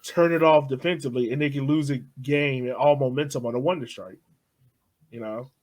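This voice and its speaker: Young dude on a soccer fan pod, easygoing, laughs a lot, mumbles off at the ends. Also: male voice, medium pitch, steady tone, a little fast. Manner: loose and chatty, laughs often, trails off softly. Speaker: podcast co-host. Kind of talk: casual sports podcast chat.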